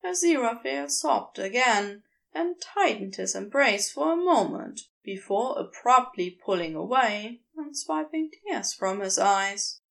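Slow, expressive woman narrator. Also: fairly high pitch, creaky, rough recording. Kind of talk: scripted fiction narration.

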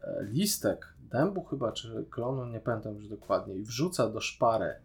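A man's voice: fairly low pitch, animated, moderate pace. A man, co-hosting a podcast and casually discussing a TV series. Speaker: podcast co-host.

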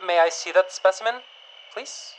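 Woman playing a low-voiced, inquisitive female stranger. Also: moderate pace, close to an everyday voice, low-quality recording. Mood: amazed. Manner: hesitant, polite, inquisitive. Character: cautious, fascinated stranger studying found specimens. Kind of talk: audio drama acting. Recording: faint noise, night insects and frogs behind. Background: crickets, frogs, outdoor ambience.

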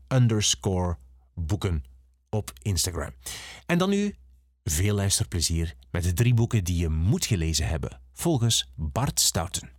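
A man's voice: on the low side, no room sound, studio quality. A man with a Flemish accent, a podcast host reading a scripted introduction.

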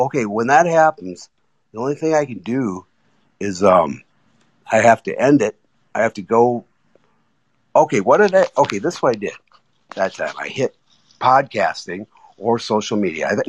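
A man's voice: fairly low pitch, animated, husky, dry recording, low-quality recording. A man calling in to a casual chat on his phone, talking eagerly in stops and starts. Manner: enthusiastic, halting with restarts. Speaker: guest caller. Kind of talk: casual chat on a live audio app. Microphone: iPhone.

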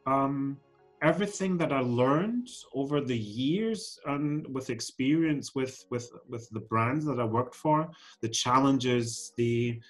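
A man's voice: medium pitch, slow. Man in his forties, podcast guest, hesitant, lots of ums, faint music underneath. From Scotland. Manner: hesitant, many ums and restarts. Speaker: guest, fashion council CEO. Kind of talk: podcast interview.

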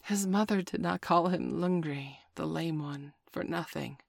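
A woman voicing a calm, wry mother wolf who speaks quietly, often half whispering. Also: on the low side, normal speed, gravelly, dry recording. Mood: grim.

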